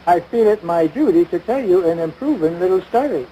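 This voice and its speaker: A man's voice: high voice, expressive, a little fast, dark tone. An actor playing a pompous, long-suffering American husband and father in a domestic comedy, speaking formally with dry sarcasm. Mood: dryly pompous. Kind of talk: domestic radio-style situation comedy. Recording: noisy vintage broadcast recording.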